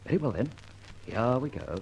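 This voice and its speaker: An actor playing a dashing, flippant gentleman adventurer in an old-time radio detective drama, with a theatrical, wry and wordy manner. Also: medium pitch, sing-song, moderate pace, vocal fry, noisy background. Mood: brisk, confident.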